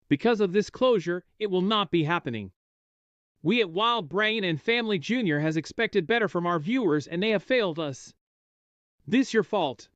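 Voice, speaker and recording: A man's voice: sits high, fairly bright tone, clean tone, dry recording. A man reading a scripted shutdown announcement as a channel announcer. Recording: muffled lo-fi audio.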